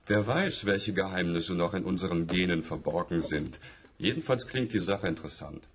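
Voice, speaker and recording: A man's voice: deep voice, normal speed, slight background noise. An actor playing an eager, persuasive university colleague in a radio play, a man with a keen, sociable manner. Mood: enthusiastic.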